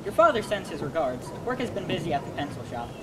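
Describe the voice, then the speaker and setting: A woman playing an easygoing, loyal young male helper in a homeschool dramatic reading, earnest and gently teasing. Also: fairly low pitch, big pitch swings, quick pace. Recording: loud background noise.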